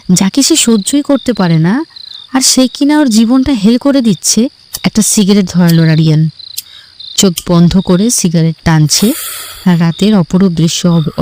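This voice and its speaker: Mellow woman narrating a story. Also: medium pitch, slight background noise.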